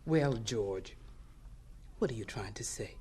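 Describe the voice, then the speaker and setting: A woman's voice: extremely low pitch, expressive, takes it slowly, mellow, husky, exaggerated voice. A woman playing a blunt but caring older aunt with a folksy manner in a radio drama. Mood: stern, challenging.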